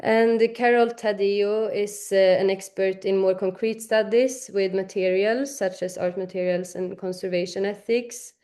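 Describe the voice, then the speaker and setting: A woman student talking about her professors at a university event, with many pauses and fillers. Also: medium pitch, fairly even intonation, dark tone. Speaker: student speaker.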